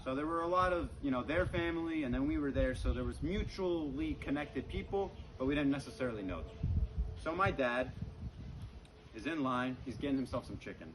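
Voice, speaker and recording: A man's voice: mid-range voice, animated, brisk. A man performing stand-up comedy, telling stories conversationally to a laughing live audience and often saying "you know". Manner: conversational, frequent "you know", acts out dialogue. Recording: noisy live recording with room ambience.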